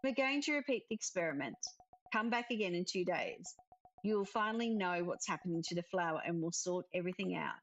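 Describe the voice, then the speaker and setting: A woman's voice: fairly low pitch, lively intonation, fairly quick, lo-fi sound, soft background music. A woman voicing a firm, knowing ghost of a wife in a visual novel.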